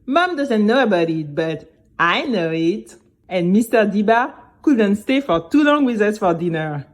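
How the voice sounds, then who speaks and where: A woman playing a nervous, chatty young girl who tells her story in a conversational, expressive way. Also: medium pitch, unhurried. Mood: confiding. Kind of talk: first-person scripted audio drama narration.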